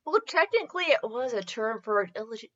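A woman's voice: on the high side, very animated, fast talker, fairly bright tone. A woman giving a comedic dramatic reading of fan fiction as a podcast host, giggly and tripping over words. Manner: giggly, stumbles over words and breaks off to comment. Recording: slightly dull, lo-fi recording.

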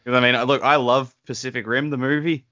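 A man's voice: mid-range voice, fairly even intonation, fairly quick, bright, forceful tone, no echo. A man with an Australian accent chatting on a podcast as co-host, jokey and emphatic. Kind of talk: casual chat. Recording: dull, muffled podcast audio.

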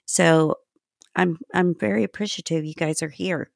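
A woman's voice: on the low side, fairly even intonation, moderate pace, vocal fry, no room sound, studio quality. A woman hosting a casual podcast, chatty and giggly, swearing now and then. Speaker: podcast host.

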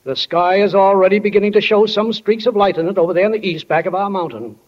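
An actor playing a wry, plainspoken small-town narrator in a 1940s radio drama. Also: male voice, high-pitched, quick pace. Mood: folksy, wry. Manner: plainspoken, drawling folksy wording. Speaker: stage manager narrator. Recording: muffled old 1940 radio broadcast.

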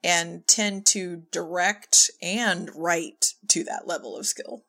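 Woman chatting on a podcast about directing, lowish voice, lots of vocal fry. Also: steady tone, slow pace, sharp tone. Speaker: podcast guest, audio drama director. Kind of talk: casual conversational discussion.

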